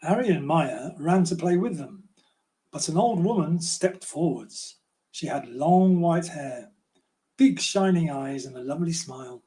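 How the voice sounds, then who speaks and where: An older man reading a children's storybook aloud in a gentle, unhurried way. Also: a little high, expressive. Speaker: children's author reading own book.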